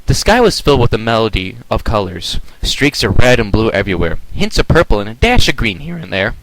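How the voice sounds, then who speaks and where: A man reading his own fan story aloud as a soft, hushed dramatic narrator. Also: fairly low pitch, sing-song, brisk, low-quality recording.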